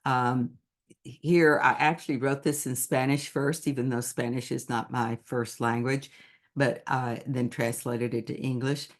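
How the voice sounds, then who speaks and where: A woman presents to teachers over a computer microphone, in the unhurried manner of someone thinking as she goes. She speaks at a slow pace and keeps her delivery measured and explanatory. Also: a bass voice, steady tone. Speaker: picture book author presenting to teachers. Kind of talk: online presentation to educators.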